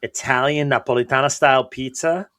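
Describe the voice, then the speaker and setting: A man hosting a podcast, chatting in a relaxed, friendly way. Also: mid-range voice, brisk. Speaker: podcast host. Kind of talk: casual podcast conversation.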